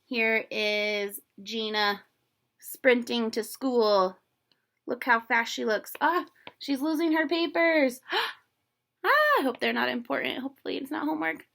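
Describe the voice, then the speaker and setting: Female storybook reader for kids, expressive and chatty, slower pace with some creak in the voice. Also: fairly high pitch, slight background noise. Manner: chatty, playful, expressive, exclaims and comments to young listeners. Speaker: teacher reading a children's book aloud. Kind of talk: animated story read-aloud with casual asides.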